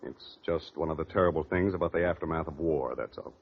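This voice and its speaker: A patient but persistent family lawyer from 1940s radio drama. The voice is deep and rough-edged, reasoning steadily. The old recording is muffled. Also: male voice, fast talker, exaggerated voice. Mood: patient, insistent. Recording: dull vintage radio recording.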